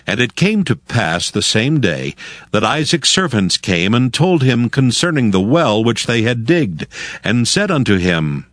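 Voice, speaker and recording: A man's voice: mid-range voice, big pitch swings, a little slow, a bit bright, dry recording, studio quality. A man giving solemn, scripted narration of scripture as the narrator of an audio drama.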